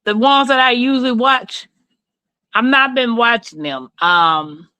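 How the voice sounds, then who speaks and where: A woman's voice: a little high, a little slow, bright, forceful tone, clear, resonant tone, dry recording. A middle-aged woman with a Southern US accent, chatting casually and candidly with livestream viewers in a folksy way. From Mississippi, rural southern United States. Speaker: livestream host.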